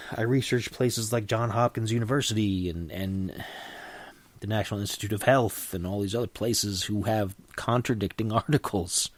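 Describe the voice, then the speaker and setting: A man's voice: fairly low pitch, no room sound. A middle-aged man hosting a solo podcast, sniffly from a cold, rambling and opinionated with frequent restarts. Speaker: podcast host.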